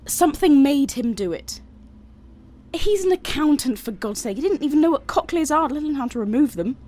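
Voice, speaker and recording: An actress playing a brisk university vice-chancellor in an audio drama. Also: female voice, high-pitched, lively intonation, warm tone. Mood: pleading, agitated. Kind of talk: scripted audio drama dialogue.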